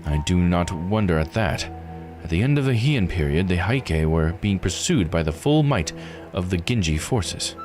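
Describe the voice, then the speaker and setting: A man voicing a formal, scholarly investigator with measured, old-fashioned phrasing in a visual novel read-aloud. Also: sits low, expressive, over music, voice pushed down. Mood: grave.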